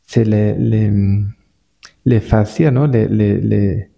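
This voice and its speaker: A man with a Spanish-speaking accent, a spa manager speaking French as a guest on a casual podcast, halting and full of repeated words and restarts. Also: fairly low pitch, steady tone, vocal fry, dark tone.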